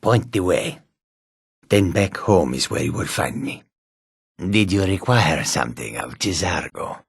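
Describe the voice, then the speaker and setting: Husky, sly cat-man warrior. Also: deep voice, no room sound, studio quality, exaggerated voice. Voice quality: husky, raspy. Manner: slow, deliberate, often speaks of himself in third person. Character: male humanoid cat warrior, sly, menacing, proud. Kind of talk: fantasy video game character barks.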